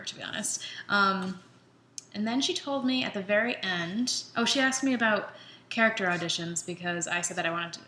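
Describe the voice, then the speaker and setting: A young adult woman vlogging in a casual, chatty way, candidly retelling her own experience and acting out her own thoughts. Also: medium pitch. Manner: chatty, candid, animated retelling with quoted self-talk. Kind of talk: casual chat to camera. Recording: roomy echo, faint background noise.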